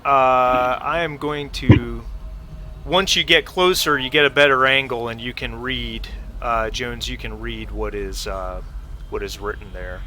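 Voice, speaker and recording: A man running a tabletop role-playing game as game master, explaining in a halting, deliberate way. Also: mid-range voice, fairly even intonation, heavy background noise.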